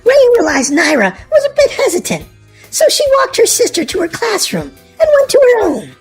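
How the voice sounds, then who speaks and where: A woman reading a children's storybook aloud in an expressive, animated way. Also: very high-pitched, moderate pace, faint music behind. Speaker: storybook narrator.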